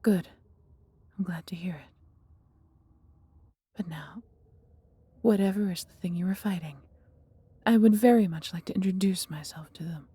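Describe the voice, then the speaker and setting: A female dragon , acted by a woman speaks in a fantasy audio roleplay, fiercely protective and full of affection. The voice is heavily breathy and husky, on the low side, with a dark, soft tone. The delivery is theatrical yet intimate, in formal storybook phrasing. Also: normal speed, pauses often, dry recording. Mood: tender, proud.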